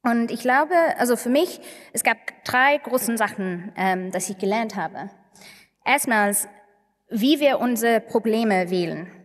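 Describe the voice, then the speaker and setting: A woman from England speaking non-native German with an English accent, haltingly searching for words as she gives an anecdotal conference talk. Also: a little high, animated. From England (Manchester). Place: large conference hall on stage, indoors. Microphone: podium microphones. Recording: echoey hall with long reverb.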